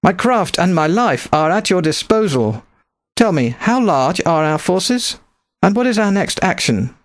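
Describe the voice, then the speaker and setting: Man acting a courteous, eager, higher-voiced runaway adventurer. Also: sing-song, unhurried, no room sound. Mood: eager, devoted. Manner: courteous, earnest, breezy. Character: polite, eager, naive male runaway adventurer.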